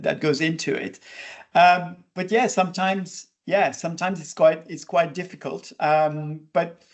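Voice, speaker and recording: A man hosting an interview podcast, talking casually with many fillers and restarts. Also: fairly high pitch. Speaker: podcast host.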